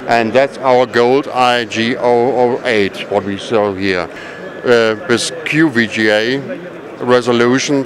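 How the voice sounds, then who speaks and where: A man presents products at a trade show in a halting, explanatory manner. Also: mid-range voice. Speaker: company representative presenting products. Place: large indoor trade fair hall. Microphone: handheld microphone at chest height. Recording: busy exhibition hall crowd noise. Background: crowd murmur.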